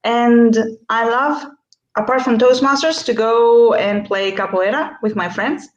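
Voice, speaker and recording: A woman's voice: on the high side, steady tone, unhurried, roomy sound, muffled recording. A woman from Greece, a workshop speaker answering questions on a video call, with frequent fillers and restarts. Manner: hesitant, many fillers and restarts. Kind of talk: online interview.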